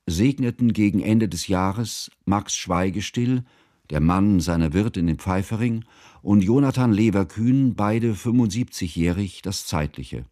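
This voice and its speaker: Low-voiced scholarly narrator. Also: male voice, darkish tone, dry recording, studio quality. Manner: formal, measured, solemn. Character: earnest, scholarly male narrator, devoted old friend. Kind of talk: scripted literary narration in radio drama.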